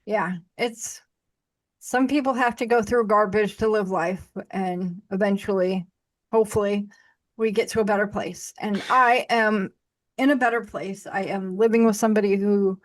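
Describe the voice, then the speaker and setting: A woman's voice: medium pitch, fairly even intonation, normal speed. Woman host, casual, blunt, restarts a lot. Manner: blunt, frank, with frequent restarts. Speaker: podcast host. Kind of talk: casual conversation.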